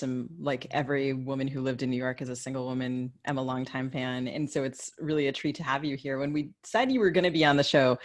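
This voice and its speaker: A woman co-hosting a podcast, putting questions to a guest conversationally. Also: rumbling low. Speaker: podcast co-host. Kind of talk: conversational interviewing.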